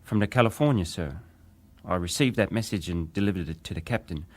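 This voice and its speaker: An actor playing a young British wireless operator giving testimony in a formal, deferential, precise way, as in a dramatized hearing. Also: male voice, low-pitched, fairly quick. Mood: precise, factual.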